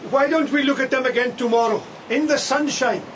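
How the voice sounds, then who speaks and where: An anxious, tender husband in a radio play. He coaxes and pleads over clearly noisy ambience. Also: very high-pitched, a little fast. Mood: anxious, coaxing. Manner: pleading, coaxing. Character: anxious, tender husband coaxing his wife. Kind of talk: radio drama acting.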